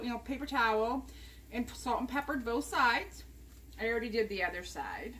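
Chatty home-cook vlogger, woman with a high voice, walking viewers step by step through a recipe in her kitchen, faint hiss behind her. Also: a bit bright. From United States. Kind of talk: casual cooking demonstration. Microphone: camera mounted above the counter.

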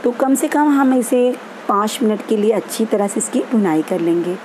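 A woman hosting a home cooking channel, calmly explaining each step of a recipe in an easygoing, instructive way. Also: on the high side, moderate pace, a little hiss or hum, poor audio. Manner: easygoing and instructive, repeats steps. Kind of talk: home cooking tutorial voice-over. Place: home kitchen.

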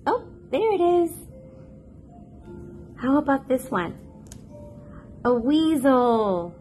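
High, lively woman reading to toddlers. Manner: playful, expressive, sing-song, with excited gasps and questions. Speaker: children's picture book narrator, host. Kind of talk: read-aloud for toddlers.

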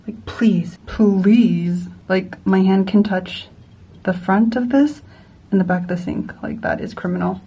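A woman's voice: medium pitch, measured pace, dark tone, far from the mic. A young woman in her twenties talking casually to her camera in a small hotel room, rambling and saying "like" a lot. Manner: chatty, rambling, heavy use of "like". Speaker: vlogger. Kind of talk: casual vlog chat to camera. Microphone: handheld camera close up.

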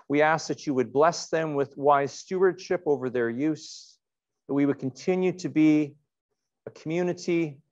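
A middle-aged man, speaking as a pastor leading worship and prayer in measured, deliberate phrases with pauses. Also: mid-range voice, a little mellow, highs cut off. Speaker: pastor leading an online church service. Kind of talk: sermon and spoken prayer. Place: indoors in a church, before a painted backdrop.

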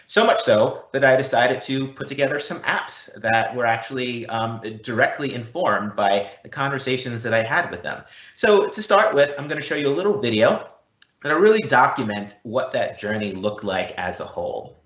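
A man's voice: medium pitch, animated, moderate pace, a bit bright. Man presenting, roomy echoing sound. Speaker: presenter, technical architect. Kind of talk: webinar presentation. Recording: roomy echo, slightly dull.

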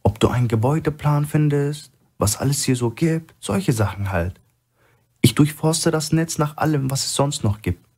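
Brisk, commanding woman, read by a man. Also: fairly high pitch, animated, darkish tone, breathy edge, pitched above the performer's own voice. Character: brisk, commanding woman planning a covert operation.

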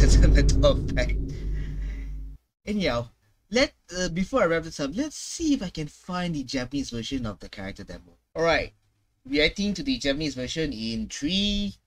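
A man in his twenties giving casual, unscripted reaction commentary, halting and repeating words. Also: fairly high pitch. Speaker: gaming reaction host. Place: small room at home, indoors. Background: game trailer music.

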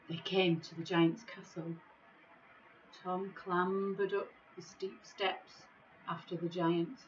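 A middle-aged woman reading a children's storybook in a measured, expressive way, recorded on a device microphone in an office. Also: on the low side, muffled recording, a little hiss or hum. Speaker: school staff member reading a bedtime story. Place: small office, indoors.

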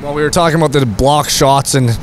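A man's voice: fairly high pitch, fairly even intonation, fast, slight background noise. A young man hosting a postgame interview beside an indoor hockey rink, holding a handheld mic. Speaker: team broadcaster interviewer. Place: indoor hockey arena rinkside.

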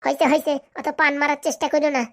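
Give me an actor doing a very high-pitched, fast-talking cartoon village wife, bossy and sharp-tongued. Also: little pitch movement. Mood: peeved. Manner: scolding, sharp-tongued, dismissive. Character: bossy, scolding middle-aged village wife and mother. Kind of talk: comedy cartoon voice acting.

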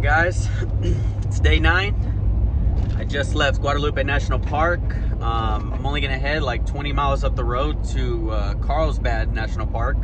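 A man in his twenties vlogging casually to a phone camera at arm's length, chatty and laid-back with loose fillers. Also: mid-range voice. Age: 20s. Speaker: road trip vlogger. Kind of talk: casual vlog talk to camera. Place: inside a pickup truck and a motel room. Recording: distant mic with road noise.

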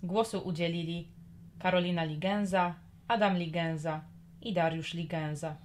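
A woman's voice: lowish voice, steady tone, normal speed, a little mellow, a little hiss or hum. A woman narrating a scripted children's story expressively.

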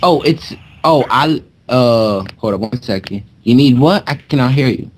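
A young man speaks in casual, slangy phone talk. His voice sits at an ordinary pitch for the call, recorded over an internet connection as he plays a customer. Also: lively intonation, normal speed, slight background noise. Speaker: prank caller posing as a customer.

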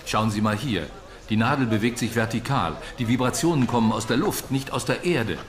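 An actor playing a calm, curt scientist in a docudrama, speaking firmly and matter-of-factly. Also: male voice, mid-range voice, fairly quick, lightly acted. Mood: firm, focused. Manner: matter-of-fact, firm. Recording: noisy ambience behind dialogue.

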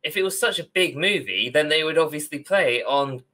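Bright, forceful young man in casual talk. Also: a little high, lively intonation, brisk. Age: young adult. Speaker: podcast co-host. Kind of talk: casual opinionated discussion. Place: small room at home, on a video call.

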